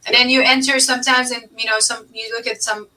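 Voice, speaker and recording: A woman's voice: on the high side, flat delivery, fast, bright, forceful tone, far from the mic, low-quality recording. A woman hosting a business advice podcast, explaining things emphatically and repeating key points. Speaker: podcast host and accountant.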